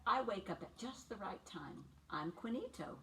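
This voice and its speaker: A woman in her sixties reading a picture book aloud to young children, phrasing things simply and deliberately and putting questions to her listeners. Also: medium pitch, big pitch swings, bright, forceful tone. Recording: distant mic with faint background noise.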